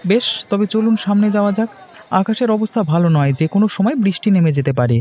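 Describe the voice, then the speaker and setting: A man voicing a young grown son in a moral-tale cartoon, dutiful yet wanting his own way. His voice sits high for a man and has a soft, mellow tone. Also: lively intonation, fast, a little hiss or hum. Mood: easygoing. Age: young adult. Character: young adult son, fresh graduate, dutiful but independent-minded. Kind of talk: Bengali cartoon story dialogue.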